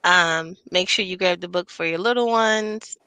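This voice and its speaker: A woman hosting a podcast in a casual, slangy, animated chat, often laughing. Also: lowish voice, normal speed, clean tone, no room sound. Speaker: podcast host.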